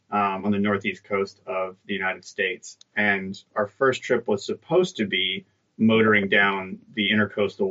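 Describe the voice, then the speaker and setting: Bright-toned easygoing man, dull line. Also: on the low side. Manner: easygoing storyteller, self-deprecating humor. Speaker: guest. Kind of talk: conversational interview.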